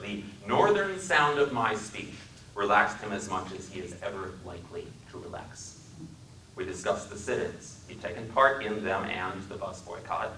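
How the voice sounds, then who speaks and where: A man from Wisconsin giving a dramatic reading in a library, measured and dryly wry in his storytelling. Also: lowish voice, sharp tone, reverberant, distant mic, slight background noise, low-quality recording. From Wisconsin, USA. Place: public library room.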